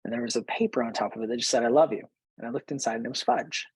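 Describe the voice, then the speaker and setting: A man's voice: medium pitch, racing, husky, no echo, rough recording. A man in his late thirties hosting a show, telling personal stories conversationally and repeating phrases for emphasis. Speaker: host, business coach. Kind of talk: personal storytelling to listeners.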